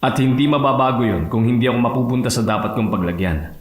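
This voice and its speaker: A man playing an earnest, suave young billionaire heir in a romantic audio drama, speaking in a measured, formal way. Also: medium pitch, echoey room, lightly acted. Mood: earnest, resolute.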